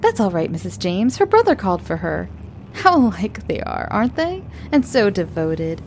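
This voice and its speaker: A woman voicing a bright, chatty young female teacher who gushes cheerfully. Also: medium pitch, big pitch swings, normal speed, a little hiss or hum. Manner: cheerful, gushing.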